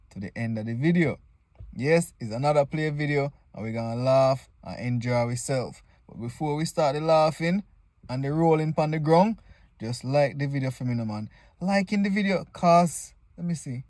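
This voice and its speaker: A man's voice: a little high, no room sound. A man with a Jamaican accent hosting comedic reaction commentary in casual, exclamatory Patois. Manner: informal Patois, exclamatory, joking. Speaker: video host reacting to stage play clips.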